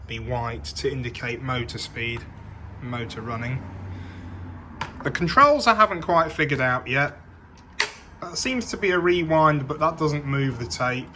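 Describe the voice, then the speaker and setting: A man's voice: medium pitch, pushed and bright, slight background noise. A British man giving a casual, unscripted show-and-tell, thinking aloud and correcting himself in a hesitant way. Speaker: vintage audio collector presenting a machine.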